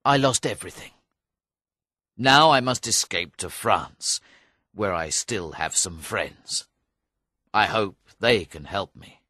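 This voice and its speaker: A man voicing a defeated male knight in an audiobook, speaking slowly and heavily. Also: lowish voice, expressive, sharp tone, slightly rough, dry recording, clean studio sound, exaggerated voice. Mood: sorrowful. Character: defeated middle-aged male knight, sorrowful. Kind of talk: audiobook character reading.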